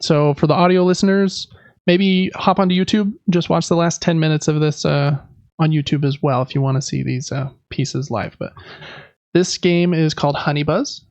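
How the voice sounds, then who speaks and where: A man's voice: on the high side, steady tone, moderate pace, darkish tone, no room sound. A man hosting a casual board game podcast, chatting and explaining things in a conversational way. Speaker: podcast host.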